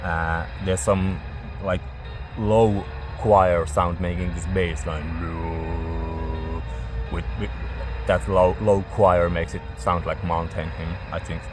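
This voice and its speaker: Male reviewer, low pitch, hesitant word-searching delivery, casual tone, music audible underneath. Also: flat delivery. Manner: halting, searching for words.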